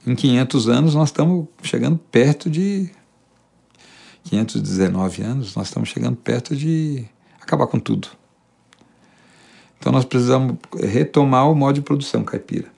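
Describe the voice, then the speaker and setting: Gravelly man musing. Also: mid-range voice, moderate pace, darkish tone. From interior of São Paulo state, Brazil. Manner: thoughtful, many restarts and repeated words. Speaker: singer-songwriter, interviewee. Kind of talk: reflective conversational monologue.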